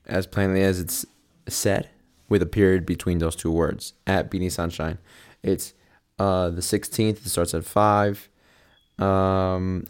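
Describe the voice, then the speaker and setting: Deep-voiced laid-back male host. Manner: laid-back, rambling promo with fillers. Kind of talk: casual event announcement.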